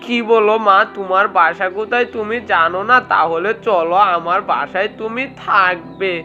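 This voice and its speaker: A woman's voice: mid-range voice, normal speed, sharp tone, muffled recording, soft background music, close to an everyday voice. A woman voicing a kindly, fat, horned male ghost in a children's cartoon, speaking in a caring, reassuring way. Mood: gentle concern.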